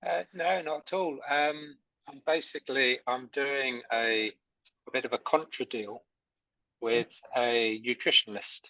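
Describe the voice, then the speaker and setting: A man's voice: mid-range voice, fairly even intonation, a little slow, bright, forceful tone, no room sound. A man taking part in an online class, talking casually and a bit hesitantly over a video call. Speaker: participant. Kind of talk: casual chat. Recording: dull lo-fi dry video call audio.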